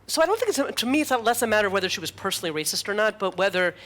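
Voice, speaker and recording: A woman's voice: mid-range voice, lively intonation, very fast, a bit bright. A woman rabbi asking a guest a long question, searching for words with many "you know"s.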